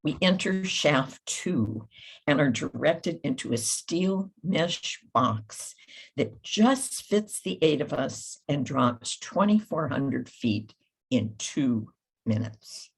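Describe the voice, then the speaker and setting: A woman's voice: deep voice, lively intonation, takes it slowly, breathy edge. An older woman speaking at a literary event, introducing and reading memoir aloud.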